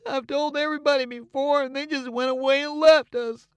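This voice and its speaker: A man's voice: high voice, expressive, moderate pace, no room sound, pitched above the performer's own voice. A man voicing a frightened young boy, pleading and stammering. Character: frightened young boy on a raft, quick-talking.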